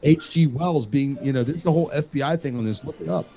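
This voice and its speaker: A man's voice: mid-range voice, quick pace, warm tone. A man hosting a casual group talk show, rambling and joking, swearing freely and repeating phrases for emphasis.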